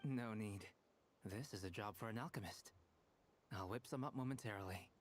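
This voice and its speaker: A man playing a calm, courteous, scholarly alchemist with an analytical manner in a video game. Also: on the low side, moderate pace, lightly acted. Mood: matter-of-fact. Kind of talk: video game character dialogue. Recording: faint noise from game stream.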